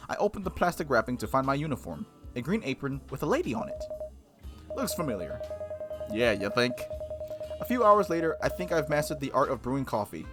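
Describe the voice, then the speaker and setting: A gaming host reading visual novel text aloud and commenting on it casually, in an animated, playful, slangy way. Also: mid-range voice.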